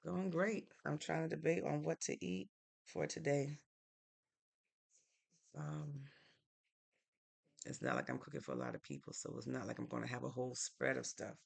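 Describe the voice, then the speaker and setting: Woman with a heavily gravelly voice. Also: extremely low pitch, lively intonation, normal speed, long pauses. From Norwalk, Connecticut, United States. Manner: easygoing and chatty, thinks aloud with fillers. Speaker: live stream host. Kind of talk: casual live-stream chat reading viewer comments.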